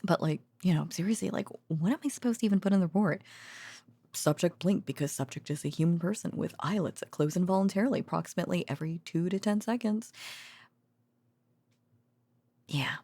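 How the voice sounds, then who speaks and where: A woman's voice: on the low side, quick pace, dark tone, husky, dry recording. A woman playing a tired, teasing, affectionate researcher girlfriend in an intimate bedtime audio roleplay, rambling hesitantly with wry sarcasm. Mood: weary, wry complaining. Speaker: voice actor.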